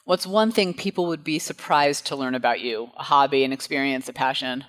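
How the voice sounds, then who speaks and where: Host: quick, mid-pitched interviewer, bright and eager. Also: a little hiss or hum. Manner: upbeat, enthusiastic, laughs often. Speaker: podcast host. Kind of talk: casual interview chat.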